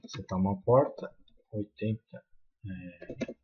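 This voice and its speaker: A man's voice: fairly low pitch, slow, slightly rough, muffled recording. A man with a Brazilian accent explaining a coding tutorial step by step, with frequent fillers and restarts. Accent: Brazilian Portuguese. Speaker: programming tutor.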